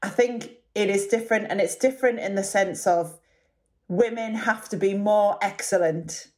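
A woman with a slightly low voice talks as a podcast guest. She is earnest and laughs easily while making her points. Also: darkish tone. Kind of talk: conversational interview.

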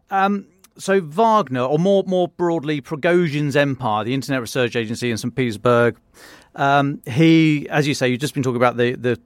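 Mid-pitched man, podcast host, informal halting questions, dry close recording. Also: no room sound. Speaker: podcast host, defence editor. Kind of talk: informal interviewing.

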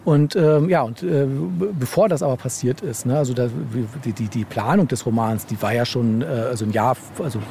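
Male podcast guest, soft-edged mellow tone with plenty of fry, rambling and stop-start conversational delivery. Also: mid-range voice, slight background noise. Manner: halting, rambling, with repeated words and tag questions. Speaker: interviewed guest, horror novel author. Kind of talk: casual podcast interview.

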